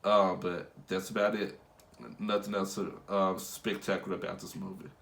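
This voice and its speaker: A man's voice: deep voice, flat delivery, moderate pace, creaky, a bit bright. A congested young man who is under the weather, rambling casually through a movie review with many fillers and restarts.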